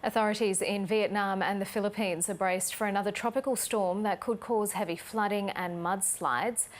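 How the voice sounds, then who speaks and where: A woman's voice: medium pitch, steady tone, moderate pace. A woman news presenter asking direct questions and reading the news.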